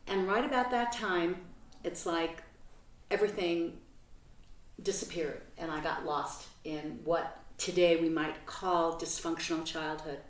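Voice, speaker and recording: An older woman from Belgium talks through her life story in an interview at home. She is earnest and reflective and pauses to find her words. Her voice sits low for a woman and sounds roomy, caught by a mic well away from her. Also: steady tone, distant mic. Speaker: interview guest.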